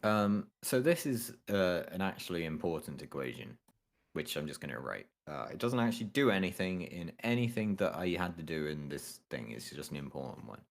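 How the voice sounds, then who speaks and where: Very deep-voiced young man, offhand. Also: sing-song, fairly quick. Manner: offhand, hesitant, swearing, lots of ums. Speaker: co-host explaining astrophysics. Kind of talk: casual study chat.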